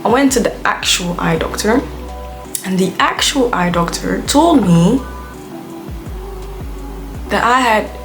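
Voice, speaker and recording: A woman's voice: mid-range voice, lively intonation, takes it slowly, pauses often. Rambling young woman vlogging. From Belgium. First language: Dutch. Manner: chatty, rambling, heavy use of "like". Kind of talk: casual rambling vlog rant. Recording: music playing under the voice.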